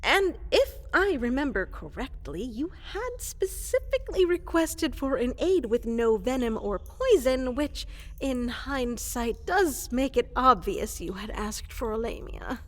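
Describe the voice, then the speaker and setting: Woman acting as a small fluffy fantasy creature girl, high voice, unhurried halting delivery, deferential, apologetic, comedy roleplay, reverberant hall. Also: big pitch swings. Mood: earnest, nervous. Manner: halting, stammering, apologetic, overly formal. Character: small, fluffy, eager llama-centaur monster girl, flustered and deferential. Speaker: applicant for evil advisor post.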